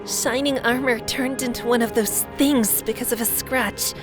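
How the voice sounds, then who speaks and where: A woman's voice: a little high, steady tone, normal speed, close to an everyday voice. A woman voicing an earnest, anxious young female cartoon pony leader, serious and urgent. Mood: worried, serious. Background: music.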